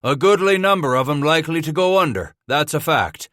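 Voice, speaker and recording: A man doing a gruff, blunt veteran trapper. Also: on the high side, lively intonation, fairly quick, a bit bright, dry recording, clean studio sound, pitched above the performer's own voice. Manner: blunt backwoods drawl. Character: gruff weathered older male trapper.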